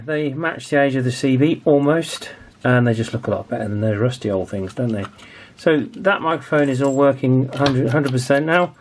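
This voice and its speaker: A man's voice: medium pitch, slight background noise. A man in his forties narrating a hands-on repair casually, hesitant and thinking aloud as he goes. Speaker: video host, radio restoration hobbyist.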